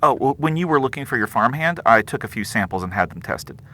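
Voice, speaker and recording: A brisk investigator in an audio drama. The voice is forceful and varied in pitch, pressing and suspicious, with a probing, official manner. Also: expressive. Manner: businesslike, probing.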